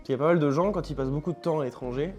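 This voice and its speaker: Guy asking questions, kinda high voice, music faintly behind. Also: racing, darkish tone. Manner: reads listener questions, light teasing. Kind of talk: casual chat.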